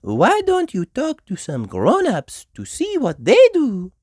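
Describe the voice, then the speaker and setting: A woman's voice: fairly high pitch, huge pitch swings, darkish tone, no room sound, studio quality, voice pushed up, exaggerated voice. A woman voicing an encouraging female gazelle teacher in a children's storybook, drawing out her words. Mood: reassuring. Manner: drawn-out, encouraging teacher talk.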